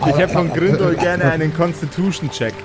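A man's voice: fairly high pitch, steady tone, brisk, loud music behind the voice. A man narrating an improvised fantasy tabletop game as its game master.

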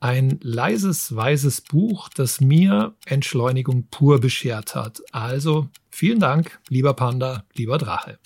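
A man's voice: medium pitch, lively intonation, takes it slowly, creaky, few pauses, darkish tone, slightly rough, no echo. A man co-hosting a book podcast in a conversational reviewing style.

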